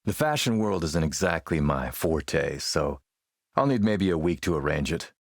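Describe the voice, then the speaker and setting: A man playing a shrewd, menacing militia boss and smooth-talking dealmaker in a video game, gravelly, measured, self-assured and wry. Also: a bass voice, animated, no room sound, clean studio sound, full character voice. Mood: businesslike. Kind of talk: video game character dialogue.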